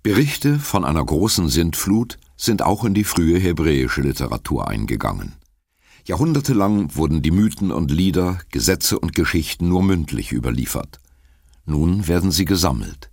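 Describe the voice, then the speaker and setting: An actor reading a solemn audiobook narration of ancient myth and history in a deep, gravelly voice. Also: male voice, very animated, moderate pace, darkish tone, no room sound, clean studio sound.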